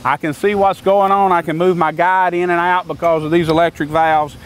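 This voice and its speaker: A man's voice: on the high side, flat delivery, moderate pace, clear, resonant tone. An older man gives a plain-spoken, folksy walkthrough of sawmill equipment outdoors, often restarting his phrases. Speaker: sawmill maker explaining equipment. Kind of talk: casual outdoor equipment demonstration. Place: outdoors on a grassy lot beside a sawmill.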